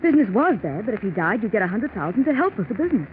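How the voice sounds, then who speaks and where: Dramatic insistent wife, crackly old radio. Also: female voice, a little high, very animated, rapid-fire, narrow, tinny audio. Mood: calculating. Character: Sandra, insistent, distraught wife having an affair. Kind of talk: 1940s radio mystery acting. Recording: scratchy band-limited 1940s radio recording.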